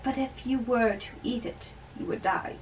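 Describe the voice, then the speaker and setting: A young adult woman giving an amateur dramatic reading of a play in a theatrical, deliberate way. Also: on the high side. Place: small bedroom, indoors, seated on a bed. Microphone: camera a few metres away. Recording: distant camera mic with faint room noise.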